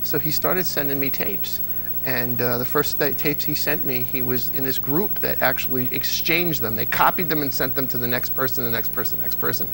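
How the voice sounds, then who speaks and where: A man's voice: mid-range voice, brisk, creaky, noisy background. A man in his thirties, a guest on a studio interview show, telling stories in a chatty way with many fillers. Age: 30s. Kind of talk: casual interview. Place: TV studio set.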